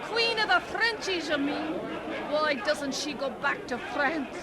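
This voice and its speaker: A woman's voice: high voice, animated, unhurried. A woman playing a scornful, gleefully mischievous Scottish townswoman in a radio drama. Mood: jeering. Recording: loud outdoor crowd behind.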